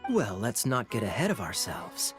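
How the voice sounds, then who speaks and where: A charming, sly knight captain in a game cutscene. He teases with a smooth, playful tone and a lively, rolling pitch. Soft music plays underneath. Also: normal speed. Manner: teasing, smooth, playful chuckles. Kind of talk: video game cutscene voice acting.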